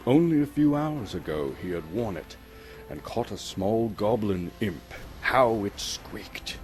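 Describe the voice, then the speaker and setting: A man's voice: on the low side, animated, unhurried, faint music behind. A man from Canada gives a dramatic narration of a storybook, theatrical and suspenseful, lingering on key words. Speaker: actor reading as narrator.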